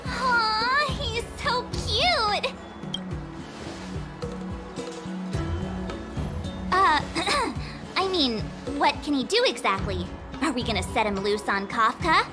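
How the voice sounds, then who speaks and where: A bubbly young girl adventurer for a game: extremely high, cutesy, a bit slow, loud music underneath. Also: exaggerated voice. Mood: gushing, curious. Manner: cutesy, expressive, pouty. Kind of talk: video game character dialogue.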